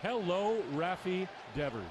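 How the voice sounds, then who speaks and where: A male baseball commentator with a high voice and a slow, spaced-out delivery. His live broadcast carries clear ballpark noise. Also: sing-song.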